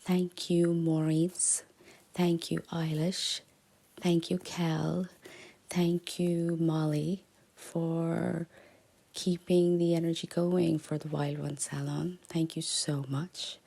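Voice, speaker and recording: Low, slow, gravelly woman host. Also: little pitch movement. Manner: slow, soft, half-whispered thanks. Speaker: podcast host. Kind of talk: closing remarks to listeners.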